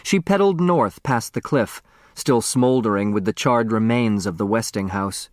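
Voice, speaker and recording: A man's voice: lowish voice, moderate pace, dry recording, clean studio sound. A man narrating a story from a script, in the dramatic style of an audiobook.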